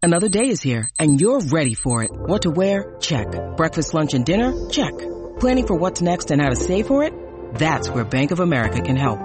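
A man's voice: fairly high pitch, very animated, a little fast. A man reading scripted advertising narration as a commercial voice-over. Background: loud music.

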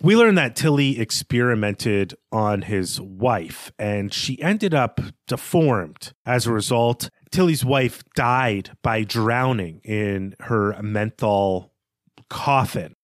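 A man's voice: fairly low pitch, animated, takes it slowly, no echo, clean studio sound. A man co-hosting a podcast, discussing literature in an analytical but informal conversational way. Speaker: podcast co-host.

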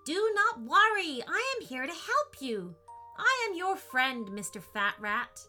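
A woman's voice: extremely high pitch, big pitch swings, measured pace, bright, forceful tone, soft background music, voice pushed up, exaggerated voice. A woman voicing an eager, polite young boy who earnestly calls others sir in a children's story.

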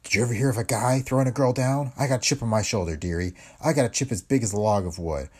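A man's voice: mid-range voice, quick pace, darkish tone, breathy edge, close to an everyday voice. A man voicing a brassy, streetwise woman tipster who talks in tough slang and calls everyone dearie. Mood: conspiratorial. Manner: slangy tough-girl talk, keeps saying dearie.

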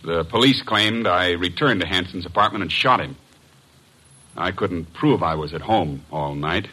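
Anxious male client in a radio mystery, low forceful voice with a husky edge, earnest, 1940s style. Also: normal speed, full character voice, poor audio. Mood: troubled, frank. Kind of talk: 1940s radio detective drama acting.

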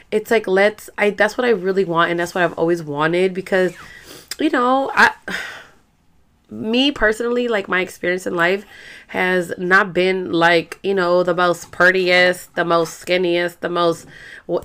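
A woman's voice: lowish voice, a bit bright, clean tone. A young adult woman with a Salvadoran accent, hosting a podcast in a chatty, slangy, rambling way with lots of fillers. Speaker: podcast host. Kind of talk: casual chat.

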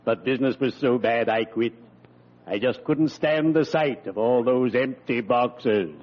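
A man's voice: mid-range voice, measured pace, natural voice. A man playing a friendly, morbidly cheerful undertaker in a grand, genteel, theatrical manner for an old radio comedy. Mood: wistful, droll. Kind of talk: 1940s-50s American radio comedy acting. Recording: dull old radio recording.

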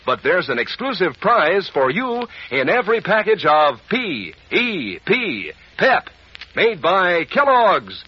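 Animated, raspy vintage radio pitchman. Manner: folksy, enthusiastic salesman. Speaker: commercial announcer. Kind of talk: 1940s radio ad pitch to children. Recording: dull vintage radio recording.

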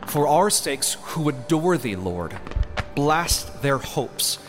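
A man voicing a solemn, fervent messenger of God in a storybook reading, preaching in measured archaic phrases. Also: fairly high pitch, big pitch swings, over music. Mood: intense prayer. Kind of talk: dramatic storybook narration.

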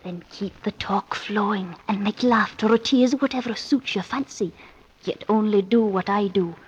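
A woman's voice: medium pitch, normal speed, close to an everyday voice. An actress playing an ardent, scheming Scottish woman, theatrical and lilting, in an old-time radio drama. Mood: conspiratorial. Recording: muffled, hissy vintage radio recording.

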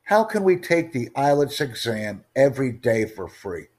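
Formal, deliberate male tutor. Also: medium pitch, big pitch swings, darkish tone. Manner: slow, formal, deliberate, asks rhetorical questions. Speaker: IELTS tutor and podcast host. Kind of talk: teaching podcast Q&A.

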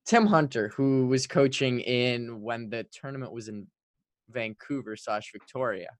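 A man's voice: mid-range voice, unhurried, no echo. Emphatic man chatting about sports, dry sound. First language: French. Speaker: hockey podcast co-host. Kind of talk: casual sports chat.

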